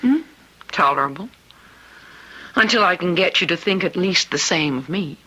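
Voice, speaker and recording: Composed lady doctor, radio play, husky and crisp-edged, dry delivery. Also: fairly low pitch, lively intonation, normal speed, sharp tone, heavily acted, poor audio. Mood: dry, flirtatious. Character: composed, dryly flirtatious woman hospital doctor. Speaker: actor. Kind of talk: radio drama acting.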